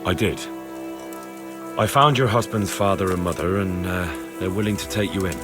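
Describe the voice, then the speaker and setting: A man's voice: low voice, normal speed, music in the background, natural voice. A man playing a plain-spoken, dutiful young blacksmith's son in a video game cutscene. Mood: gentle, hesitant. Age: young adult.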